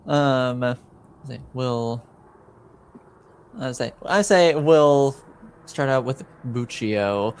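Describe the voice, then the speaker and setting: A man narrates a tabletop roleplaying game as its game master. His mid-pitched voice moves slowly, with an informal, hesitant delivery as he thinks aloud. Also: smooth.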